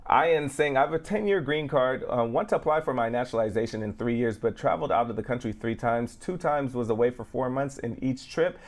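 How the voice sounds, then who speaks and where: A male co-host with a medium-pitched voice reads audience questions aloud in a casual show. He stumbles over words now and then and corrects himself before moving on to the next one. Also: a little fast, few pauses. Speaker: co-host reading out viewer questions.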